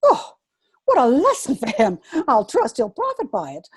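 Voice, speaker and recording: A woman playing a prim, coy, middle-aged governess who moralizes pointedly in a dramatic reading of a stage comedy, with a husky voice. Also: fairly high pitch, huge pitch swings, normal speed, no room sound, studio quality, heavily acted. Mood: scandalized.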